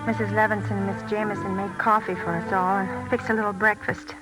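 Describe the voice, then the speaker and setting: An actress playing an anxious, loyal soldier's wife in a 1940s radio drama, earnest and halting. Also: female voice, medium pitch, flat delivery, over loud music, close to an everyday voice, low-quality recording. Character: anxious, loyal young wife of an American paratrooper.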